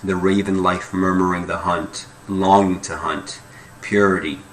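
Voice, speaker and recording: A man reading poetry aloud as a dramatic recitation, with deliberate, emphatic phrasing in short bursts. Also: low voice, fairly even intonation. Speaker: poetry reader.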